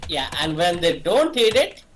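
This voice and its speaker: Man, casual group chat, very lively pitch, faint background noise. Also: measured pace. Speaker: streamer.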